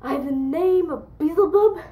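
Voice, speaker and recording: A woman's voice: sits high, unhurried, echoey room, narrow, tinny audio, pitched above the performer's own voice. A woman acting a grumbling, mocking male castle gatekeeper in a declamatory Shakespearean stage monologue. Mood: mocking, exasperated. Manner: declamatory, exclaiming, sardonic.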